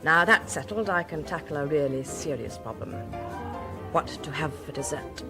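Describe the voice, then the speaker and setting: An actress playing a detective's wife in a radio drama, teasing and animated. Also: female voice, low voice, normal speed, over music. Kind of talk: 1960s radio drama acting.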